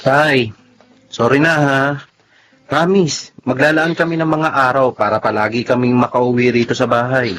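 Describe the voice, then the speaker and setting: An actor playing an anxious, practical younger grown son in a Tagalog radio drama, explaining himself apologetically. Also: male voice, medium pitch, fairly even intonation, normal speed, fairly bright tone, natural voice. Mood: apologetic.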